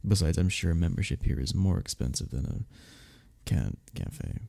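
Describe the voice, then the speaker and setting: A man playing a flirty, friendly club member in an ASMR romance roleplay, teasing and reassuring in a breathy, gravelly voice. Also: sits low, very animated, a little slow, mellow, heavily acted. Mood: amused, teasing. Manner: teasing, reassuring, chuckles between phrases. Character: flirty, friendly male cuddle club member showing a newcomer around. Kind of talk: ASMR romance roleplay, one-sided conversation.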